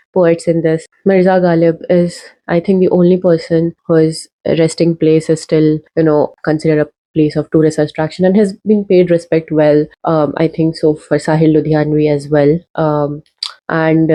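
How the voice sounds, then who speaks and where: A young Indian woman talks straight to the camera with a mellow, relaxed tone and a slightly low voice. Her Indian English accent stays steady as she pleads and persuades, pausing on "uh" and "um" between points. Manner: earnest, persuasive, many fillers. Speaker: video essayist. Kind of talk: earnest casual video essay. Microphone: talking to camera.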